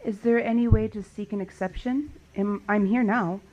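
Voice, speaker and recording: A woman playing a curious wandering survivor who loves books, in an audio drama, with an expressive, questioning delivery. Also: medium pitch, moderate pace, dull audio, natural voice. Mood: polite, pleading. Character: wandering female book lover, curious, pleading, indignant survivor. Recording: clearly noisy background with clicks.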